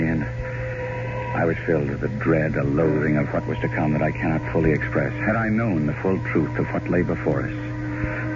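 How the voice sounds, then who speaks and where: Grave, deep-voiced gothic gentleman. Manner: solemn, formal, literary. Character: Gabriel Mannering, grave, steadfast gentleman narrator. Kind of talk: old-time radio drama narration and dialogue. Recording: dull old radio drama recording.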